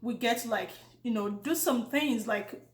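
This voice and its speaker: A woman's voice: on the high side, moderate pace. A woman with a Nigerian accent, co-hosting a casual chat, talking with hesitations. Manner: chatty, with hesitations. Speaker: co-host. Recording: echoey room.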